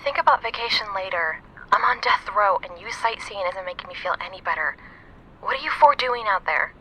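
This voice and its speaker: A man's voice: sits high, lively intonation, brisk, bright, forceful tone, slight background noise, voice pushed up, poor audio. A man voicing an impatient, needling young prisoner on death row. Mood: irritated.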